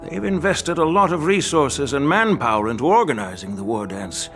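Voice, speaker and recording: An actor playing a calm, shrewd veteran general in a video game, speaking in a measured, instructive way. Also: male voice, a little high, soft background music. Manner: measured, deliberate, gently instructive. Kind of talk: scripted video game acting.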